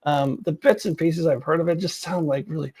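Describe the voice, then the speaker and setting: A woman giving casual, opinionated commentary to viewers as a video host, in a blunt, informal and halting way. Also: deep voice, fairly even intonation, darkish tone, breathy edge.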